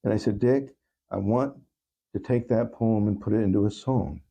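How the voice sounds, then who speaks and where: A man speaking as an interview guest, giving earnest, thoughtful answers in a halting, reflective way. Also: lowish voice, gravelly, warm tone, slightly rough. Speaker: talk show guest.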